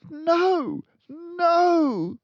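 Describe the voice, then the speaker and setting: An earnest, anxious young schoolmistress from a children's story, played by a man. The voice sits high and moves up and down as she politely insists. The sound is dry and a little dull. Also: very animated, drawn-out words, no echo, exaggerated voice. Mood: pleading. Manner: pleading, polite but insistent. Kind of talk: children's audiobook character voice.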